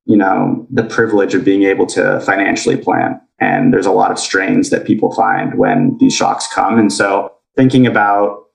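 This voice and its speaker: A young man with an American accent, answering interview questions in a relaxed way with lots of "like" and "kind of". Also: on the low side, vocal fry, reverberant, distant mic, low-quality recording. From grew up in Indonesia. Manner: relaxed, uses "like" and "kind of" a lot. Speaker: guest.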